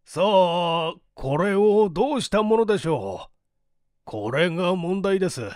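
A man voicing a polite, earnest gentleman in a story reading, deliberate and expressive. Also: high-pitched, no echo, studio quality. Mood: puzzled.

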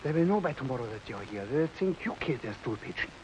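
An actor playing a calm, urgent man helping a refugee escape, talking in hushed whispers, on a noisy 1950s film soundtrack. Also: mid-range voice, fast, lightly acted.

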